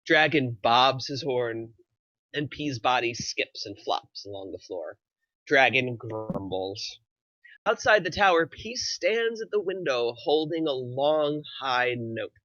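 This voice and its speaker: Male narrator, crisp bright tone, middle pitch, screenplay reading, dry slightly lo-fi recording. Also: lively intonation, takes it slowly, dry recording. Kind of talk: reading screenplay action lines aloud.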